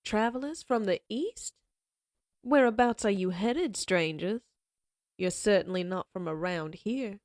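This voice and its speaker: A woman playing a menacing female outlaw leader who taunts her captives in a slow, mocking drawl. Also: mid-range voice, darkish tone, dry recording, studio quality, lightly acted. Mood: taunting. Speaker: voice actress. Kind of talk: audio roleplay acting.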